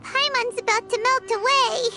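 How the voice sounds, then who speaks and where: A woman voicing a tiny, excitable, whiny childlike companion in a video game, referring to herself in the third person. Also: extremely high pitch, moderate pace. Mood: weary, whiny. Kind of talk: scripted video game dialogue. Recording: game music behind the voice.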